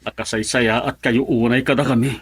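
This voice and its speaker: A man's voice: mid-range voice, steady tone, a little fast, loud music behind the voice, lightly acted. A man acting as a sentimental, fretful husband in an Ilocano radio drama. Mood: humble, pleading. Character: sentimental husband fretting over wife leaving for abroad.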